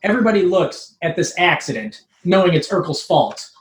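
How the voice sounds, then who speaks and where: A woman's voice: fairly low pitch, very animated, brisk. A woman reading a scripted comic story aloud as narrator. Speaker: troupe member reading own comic story. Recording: roomy, echoing live room.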